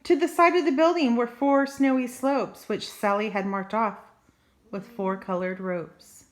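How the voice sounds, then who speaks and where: A woman's voice: on the high side, fairly even intonation, unhurried. An older woman, a grandmother, reading a children's story expressively with small asides, in an echoey room. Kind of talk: children's storybook read-aloud.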